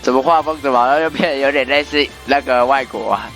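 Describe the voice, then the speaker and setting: A man's voice: medium pitch, fast talker, fairly bright tone. Male game streamer with faint music behind. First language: Chinese. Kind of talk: casual let's-play commentary, reading dialogue aloud.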